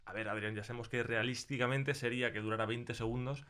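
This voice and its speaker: A man's voice: mid-range voice, fairly even intonation, quick pace, pushed and bright, clear, resonant tone. A man with an accent from Spain chatting casually as a sound designer being interviewed in a studio, acting out remembered remarks as he tells stories. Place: recording studio.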